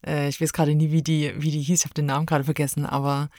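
A woman's voice: sits low, flat delivery, quick pace, a little mellow, no echo, studio quality. A woman chatting informally as a guest reviewer on a podcast, searching for her words as she talks. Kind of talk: casual chat.

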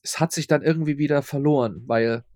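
A man's voice: fairly high pitch, quick pace, darkish tone, no echo. A man speaking as a guest in a casual interview, rambling and often repeating his words as he explains his audio drama work.

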